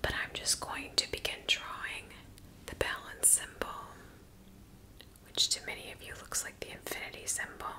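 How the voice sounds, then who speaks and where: A woman whispering slow, soft instructions as she guides a Reiki ASMR session. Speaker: Reiki practitioner.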